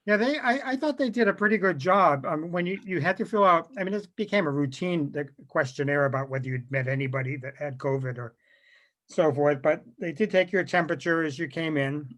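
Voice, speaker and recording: A man's voice: a little high, fairly bright tone. A man chatting casually on a video call, telling things in a rambling, conversational way. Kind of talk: casual anecdotal chat.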